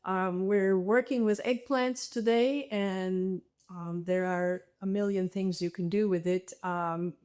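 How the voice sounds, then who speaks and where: A woman's voice: mid-range voice, fairly even intonation, slow pace, few pauses, a little mellow, clean tone. A woman from Turkey leading a casual cooking demonstration in her home kitchen, speaking in a friendly, hesitant way full of ums, over a video call. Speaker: home cook presenter.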